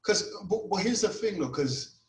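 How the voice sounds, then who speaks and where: Animated Toronto man on a roomy call. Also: high-pitched, normal speed, noisy background. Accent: Toronto, Caribbean-influenced. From Canada. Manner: animated storytelling, lots of "you know what". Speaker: podcast guest, grime artist. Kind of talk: casual chat over video call. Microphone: video call.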